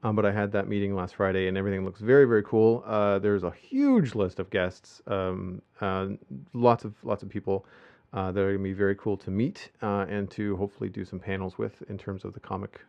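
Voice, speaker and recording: Gravelly man chatting on a podcast. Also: on the low side, a little fast, muffled recording. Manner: rambling, many fillers. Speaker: podcast host. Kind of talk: casual podcast chat.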